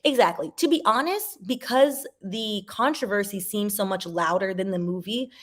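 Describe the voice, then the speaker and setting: A woman in her forties casually chatting to viewers and reading comments, with animated emphasis and sudden jumps in pitch. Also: moderate pace. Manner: talks to viewers directly, sips wine.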